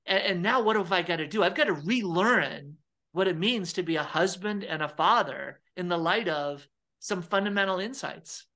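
A man's voice: sits high, moderate pace, sharp tone. Earnest middle-aged man on a video call. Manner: earnest, repeats words, gestures with hands. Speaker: interviewer, theologian. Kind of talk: earnest conversational interview. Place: home room with fireplace.

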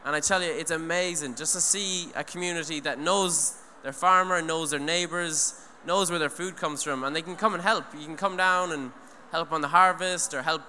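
A man's voice: on the high side, fairly even intonation, moderate pace, hardly any pauses, bright, forceful tone. A young man giving an earnest, rambling talk on stage into a handheld mic, full of restarts and "you know". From Ireland. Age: young adult. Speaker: speaker at an eco event. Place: large hall, on stage. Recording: long hall reverb, faint noise.

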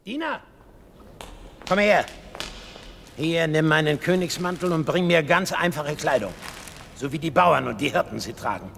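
A man's voice: on the high side, moderate pace, vocal fry, a bit bright, long reverb tail, a little hiss or hum. A man playing a troubled, commanding king in a dramatic radio play, imperious and urgent.

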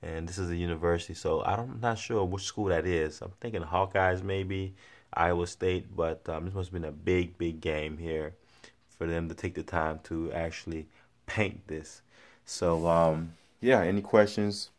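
A man's voice: low voice, steady tone, normal speed. A middle-aged man walking through his home with a phone, chatting upbeat about paintings for sale, with many fillers and restarts. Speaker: art seller previewing his auction. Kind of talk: casual walkthrough vlog. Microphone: handheld phone camera, walking around.

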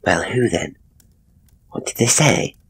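A man voicing a skittish, anxious woman with a breathy, worried, questioning manner in a horror story. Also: fairly low pitch, lively intonation, takes it slowly, fairly bright tone, a little hiss or hum. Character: skittish younger woman, anxious sister and secret conspirator.

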